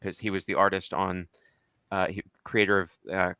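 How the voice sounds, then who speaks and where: A man chatting casually as a show panelist, halting and meandering but enthusiastic. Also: low voice, level tone, sharp tone, clean tone, dry recording, studio quality. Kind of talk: casual chat, comics commentary.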